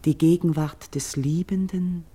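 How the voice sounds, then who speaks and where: An actress playing a gentle, troubled priestess of Greek myth in a classical verse radio drama, speaking solemnly and lyrically. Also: female voice, low-pitched, fairly even intonation, breathy edge. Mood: tender, grateful. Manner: solemn, lyrical, deliberate stage diction.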